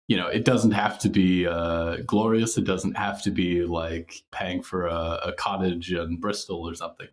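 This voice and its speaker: A man chatting casually as an interview guest about his creative work, in an informal, reflective, halting manner. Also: deep voice.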